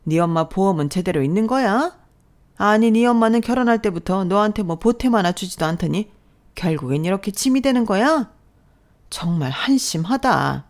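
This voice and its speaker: A woman voicing a domineering middle-aged mother-in-law who sneers and belittles in a sharp, high voice, as part of a told story. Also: lively intonation, moderate pace, natural voice. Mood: contemptuous. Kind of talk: storytelling with acted dialogue.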